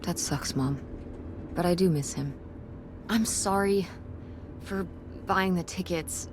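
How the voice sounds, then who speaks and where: An actress playing an earnest teenage daughter who designs websites, speaking in a casual, sincere, slangy way in naturalistic game dialogue. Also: female voice, fairly low pitch, slow, slight background noise. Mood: regretful.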